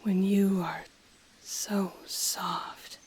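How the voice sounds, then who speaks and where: A woman voicing an ancient female dragon in an intimate audio roleplay, breathy and often whispering, haughty yet tender, with teasing, seductive, formally worded speech. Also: medium pitch, fairly even intonation, darkish tone, exaggerated voice. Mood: possessive, tender. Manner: slow, teasing, formal archaic phrasing.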